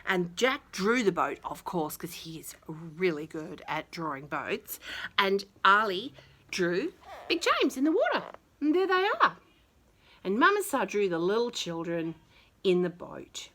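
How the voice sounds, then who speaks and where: A woman reading a picture book to young children with chatty, gushing commentary and expressive, exaggerated emphasis. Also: lowish voice, measured pace. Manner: gushing, enthusiastic, talking to small children. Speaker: children's storyteller and host.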